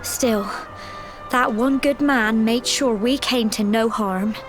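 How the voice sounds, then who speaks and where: A gentle young villager in a video game cutscene. Her voice sits high and she speaks slowly, with earnest, emotional hesitations. Music swells clearly behind her. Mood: sombre recollection. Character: gentle, tender-hearted young village woman.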